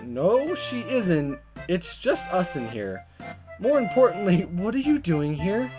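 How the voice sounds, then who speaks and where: Gamer doing a flustered visual novel schoolboy, stammery and exasperated, super animated, music under it. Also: mid-range voice, slow pace. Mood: awkward, confused. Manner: stammering, exasperated inner monologue. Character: flustered, awkward high school boy, visual novel hero.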